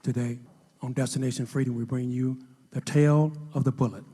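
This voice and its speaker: A solemn radio drama announcer played by a man delivers scripted narration. The voice is grave and deliberate, mid-pitched, carried in a long reverb. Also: fairly even intonation, dark tone, slightly rough, lightly acted. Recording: long reverberant hall effect.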